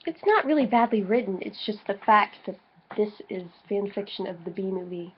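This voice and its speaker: A woman's voice: mid-range voice, expressive, a little fast, slight background noise. Woman, tipsy, casual banter and comic reading, giggly, profane, dull lo-fi home recording. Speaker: co-host of a dramatic reading video.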